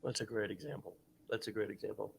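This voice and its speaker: A man's voice: on the low side, steady tone, fast talker, vocal fry, slight background noise. A man hosting an interview podcast, stuffed up with a sore throat, giving earnest coaching advice in a casual chat. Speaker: podcast host.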